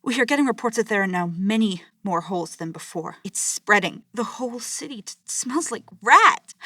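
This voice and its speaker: Woman acting as serious urgent newsreader in an audio drama, medium pitch, very dry recording. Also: a bit bright, dry recording. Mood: alarmed. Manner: formal, urgent. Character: grave female news anchor reporting a city's collapse. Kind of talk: scripted news broadcast in an audio drama.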